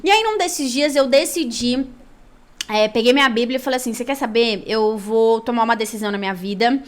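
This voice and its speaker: Woman, Brazilian accent, higher-pitched voice, conversational faith storytelling, animated and colloquial delivery. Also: a little fast. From São Paulo, Brazil. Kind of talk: personal storytelling with Bible reading.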